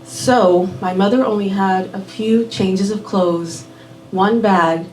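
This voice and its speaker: A woman raised in Miami telling a personal story live at a small venue, unhurried and measured, dropping in Spanish words. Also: mid-range voice, darkish tone, a little hiss or hum. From born in Puerto Rico, raised in Miami. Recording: roomy venue audio from a distant mic.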